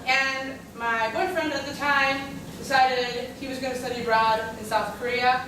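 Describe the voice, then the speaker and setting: A woman's voice: high-pitched, steady tone. Young woman, from Russia, native Russian speaker, chatty panel talk, fillers, far from the mic. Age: young adult. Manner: chatty, frequent fillers, quick asides. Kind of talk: casual personal story on a panel. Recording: echoey room, far from mic, background noise.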